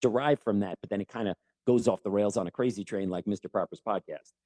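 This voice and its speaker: A man's voice: fairly low pitch, fast, dark tone, no room sound. A man hosting a casual interview podcast, talking in an enthusiastic, rambling, self-deprecating way. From United States. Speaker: podcast host and former teacher.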